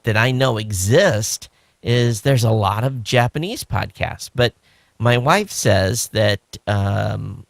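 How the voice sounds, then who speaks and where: A man with a fairly low voice co-hosts a casual podcast chat, rambling along with plenty of "you know"s. The sound is dry and close, with no room echo. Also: slow, creaky. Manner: relaxed, rambling with frequent fillers. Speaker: podcast co-host.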